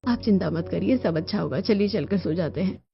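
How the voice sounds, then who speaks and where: A woman voicing a calm, practical mother-in-law in a cartoon family story. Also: on the low side, fairly quick, gravelly. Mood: reassuring. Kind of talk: Hindi cartoon story voice acting. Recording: dull lo-fi audio over music.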